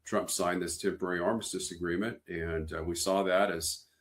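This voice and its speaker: A man answering interview questions, halting and repeating words with fillers. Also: deep voice, steady tone. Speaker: guest.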